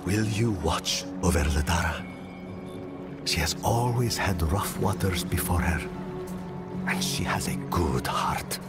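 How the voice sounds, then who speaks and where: An actor playing a caring, worried island villager in a video game, solemn and heartfelt, with music behind. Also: male voice, deep voice, measured pace. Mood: worried, tender. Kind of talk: video game character acting. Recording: loud game music underneath.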